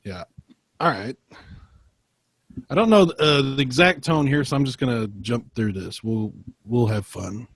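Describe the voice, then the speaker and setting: A man's voice: medium pitch, lively intonation, unhurried, long pauses, husky, no room sound. A man co-hosting a podcast in casual chat, speaking in an enthusiastic, emphatic way. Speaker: podcast co-host.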